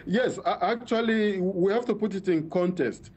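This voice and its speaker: A man's voice: high voice, normal speed, a little mellow, lo-fi sound. A man with a Nigerian accent, a journalist explaining in a live news interview, often repeating words as he searches for phrasing. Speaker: journalist reporting by phone. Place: remote phone call.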